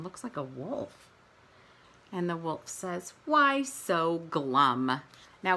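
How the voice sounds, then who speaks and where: A woman kindergarten teacher reading aloud, pausing to explain and ask questions of young children. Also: on the low side, gravelly, fairly bright tone, slight background noise.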